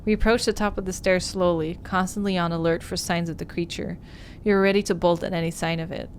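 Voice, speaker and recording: A woman with a slightly low voice streams a visual novel playthrough. She speaks like an expressive storyteller, stumbling a little as she reads. Some background noise and ambience sits behind her. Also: quick pace. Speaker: game streamer. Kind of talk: livestream playthrough, reading visual novel narration aloud.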